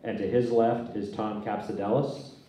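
Middle-aged man, moderator, flat and dark-toned, heard from afar in a very echoey hall. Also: lowish voice, normal speed, far from the mic. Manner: reads out speaker bios in a steady, even way. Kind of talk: reading a panel introduction. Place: stage in a large dark hall. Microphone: handheld microphone.

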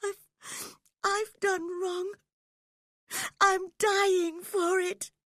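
A desperate, frail young wife on her deathbed as a woman narrator acts her out. Her voice is high, slow and breathy. She pleads with dramatic passion, as in a storybook romance. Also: a little mellow, no echo, clean studio sound, heavily acted. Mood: sobbing remorse.

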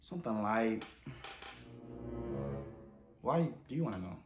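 Young American man, echoey room, deadpan. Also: on the low side, unhurried, over music. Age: young adult. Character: naive, awkward male student. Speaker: host of a parody channel. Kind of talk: casual comedy roleplay. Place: indoors in a living room. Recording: roomy, echoey indoor sound.

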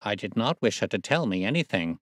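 A man voicing a brisk, observant gentleman detective in a storybook reading, self-assured, precise, formal and courteous. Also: on the low side, sing-song, no room sound, clean studio sound. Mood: brisk, cheerful.

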